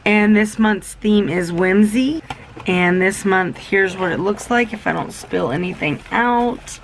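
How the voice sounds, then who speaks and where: Chatty young woman beauty vlogger, a bit sick and gravelly, talking fast and rambling. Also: mid-range voice, slight background noise. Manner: rambling, self-interrupting, enthusiastic. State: a little sick, losing her voice. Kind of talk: chatty product unboxing.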